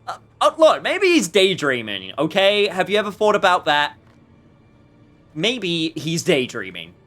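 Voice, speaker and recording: A man giving casual, rambling, jokey live commentary while he plays a video game, with animated outbursts. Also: high voice, a little slow, soft background music. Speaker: streamer playing a video game.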